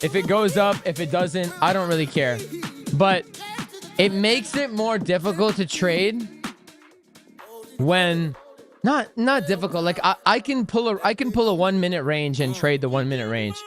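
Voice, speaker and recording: A young man talks casually on his crypto livestream, joking with viewers and drifting off topic. His voice sits a touch high and sounds a little worn from screaming. Faint music plays behind him. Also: lively intonation, normal speed, a bit bright. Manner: joking, rambling, breaks into singing. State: slightly lost voice. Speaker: livestream host, crypto trader.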